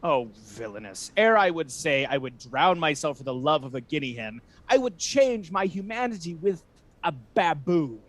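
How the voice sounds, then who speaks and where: A man's voice: fairly high pitch, big pitch swings, normal speed, fairly bright tone. A man playing a scheming, resentful Venetian ensign in a Shakespeare radio play, persuasive and theatrical. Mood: mocking.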